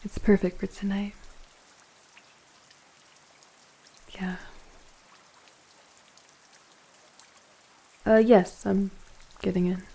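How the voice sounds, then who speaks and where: A woman playing a gentle, flirtatious woman in her forties in an intimate ASMR romance roleplay, speaking softly and unhurriedly in a breathy near-whisper. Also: medium pitch, level tone. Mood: intimate, shy. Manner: soft, tender, hesitant, unhurried flirting.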